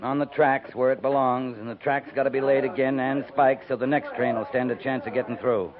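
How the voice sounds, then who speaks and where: A man's voice: mid-range voice, fairly even intonation, a little fast, crowd noise, lightly acted. A seasoned American cavalry captain acted by a man in a 1950s radio western. He is stoic and unsentimental, giving orders in a clipped, dry way. The sound is thin and narrow, like an old broadcast. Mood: grave, matter-of-fact. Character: seasoned cavalry captain, stoic, terse, gravely matter-of-fact. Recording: tinny band-limited 1950s radio recording.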